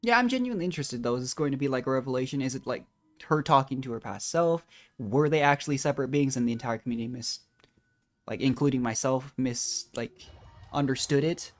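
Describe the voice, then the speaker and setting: A woman's voice: a bass voice, quick pace, soft background music. A woman doing casual livestream gameplay commentary, musing and thinking aloud as she goes. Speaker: game streamer.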